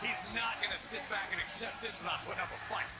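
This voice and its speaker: A man's voice: high voice, fast, a loud crowd, natural voice. A man playing a cynical, opinionated color commentator in a wrestling video game. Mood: knowing. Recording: loud music over commentary.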